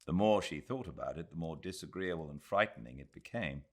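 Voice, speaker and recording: A British man reading literary narration as an audiobook narrator, measured and expressive. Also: low-pitched. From Britain.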